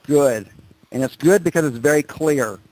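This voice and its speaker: A man's voice: on the high side, moderate pace, a little mellow, poor audio. Man coaching with probing, directive questions. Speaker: coach leading a group call. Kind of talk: coaching on a group conference call. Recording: telephone conference call.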